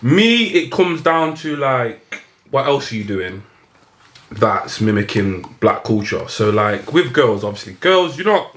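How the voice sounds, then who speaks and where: A man's voice: mid-range voice, big pitch swings, unhurried, fairly bright tone. A British man in a casual group discussion, picking words carefully and hesitantly with slangy phrasing.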